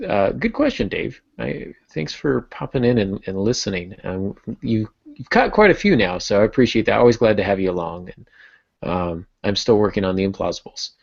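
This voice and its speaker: A man's voice: fairly low pitch, animated, moderate pace, creaky, no echo, lo-fi sound. A man hosting a podcast in casual, informal chat, often thinking aloud with a self-deprecating touch. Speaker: podcast host.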